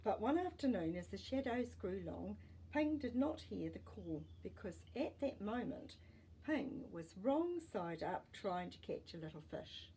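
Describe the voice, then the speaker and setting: A woman reading a children's storybook aloud as a storytime host, with deliberate, expressive phrasing for young listeners and small asides. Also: mid-range voice, slight background noise, low-quality recording.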